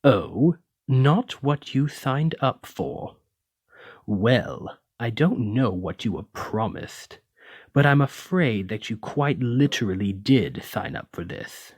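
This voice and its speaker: A man's voice: medium pitch, expressive, slow, darkish tone, breathy edge, dry recording, studio quality, heavily acted. A man playing a haughty, menacing lamia noble in an ASMR roleplay, speaking with condescending, theatrical, aristocratic deliberation. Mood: mocking disdain. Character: haughty, menacing male lamia noble, wealthy retired merchant. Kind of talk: ASMR roleplay addressed to the listener.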